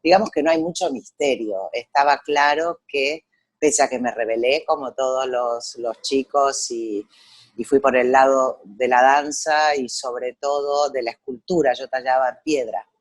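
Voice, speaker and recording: A middle-aged woman from Argentina with an Argentine accent, a photographer telling her story in an interview, pausing often with fillers as she remembers. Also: extremely low pitch, steady tone. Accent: Argentine Spanish. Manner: reflective storytelling with frequent pauses and fillers. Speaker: guest photographer. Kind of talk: conversational interview over a call.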